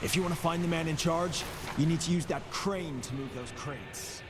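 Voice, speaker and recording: A man's voice: a little high, moderate pace, lightly acted. A man playing a jumpy, shaken worker. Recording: distant with game ambience noise.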